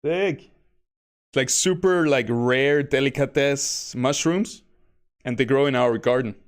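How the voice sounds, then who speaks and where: A man streaming a game, talking casually in a soft, fairly monotone voice and rambling from one thought to the next. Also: mid-range voice, slow. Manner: self-described monotone, soft bedroom voice; rambling, chuckles between remarks. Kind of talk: casual gameplay commentary. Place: home.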